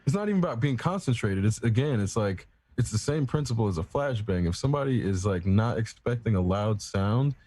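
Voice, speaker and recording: A man's voice: mid-range voice, animated, fairly quick, hardly any pauses, a little mellow, breathy edge, no echo. A man in casual chat, explaining at length in a rambling, profane, self-assured way. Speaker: podcast co-host.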